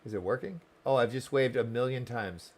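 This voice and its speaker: A man's voice: mid-range voice, brisk, darkish tone. A man live-streaming a game, chatting casually and welcomingly with viewers while commenting on the story, often repeating words.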